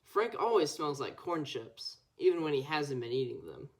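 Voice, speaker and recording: A young female Scout reading a children's picture book aloud, with expressive storytelling. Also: low-pitched, moderate pace. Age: child or teenager. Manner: expressive storytelling with acted dialogue. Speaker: Scout volunteer reader.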